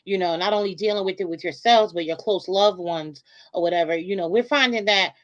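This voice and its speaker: A woman guest on a podcast, talking casually with fillers and quick affirmations. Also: on the low side, highs cut off. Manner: relaxed, affirming, filler-heavy. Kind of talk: casual chat.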